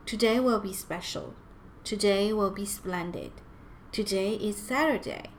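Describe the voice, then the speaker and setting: A loving storybook mother, put on by a woman reader. She is theatrical and easily flustered, yet reassuring, with unhurried delivery. The recording carries a little noise. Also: medium pitch, natural voice. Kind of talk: children's picture-book read-aloud.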